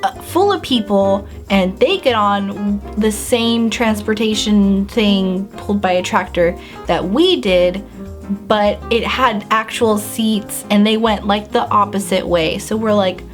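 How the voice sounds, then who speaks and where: A woman's voice: mid-range voice, slow pace, faint music behind. Bubbly young woman telling a story. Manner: bubbly, animated storytelling with lots of "like" and acted-out reactions. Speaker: beauty and lifestyle vlogger. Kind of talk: casual storytime vlog.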